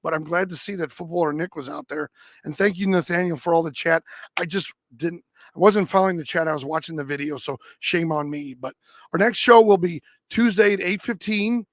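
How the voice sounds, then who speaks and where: Casting: male livestream host, high-pitched and raspy, recorded dry, casual and rambling delivery. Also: a little fast, dry recording. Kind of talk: casual livestream chat and clip commentary.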